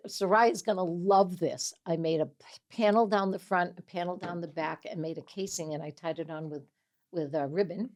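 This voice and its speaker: A woman in her seventies hosting a casual interview, chatty and animated as she tells stories and laughs often. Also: lowish voice, moderate pace. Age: 70s. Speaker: host, mental health coach.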